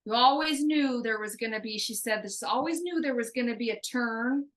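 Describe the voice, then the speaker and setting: A woman's voice: fairly high pitch, normal speed. A woman working as a medium, relaying a spirit's answers in casual talk, hesitant and full of fillers. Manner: hesitant, filler-heavy, relays in third person.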